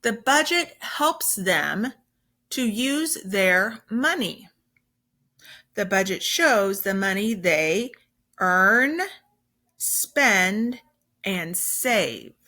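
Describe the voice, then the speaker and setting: Slow, lively woman reading to children. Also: mid-range voice. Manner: emphatic, simple explaining for children. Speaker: teacher. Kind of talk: children's story read-aloud with explanations.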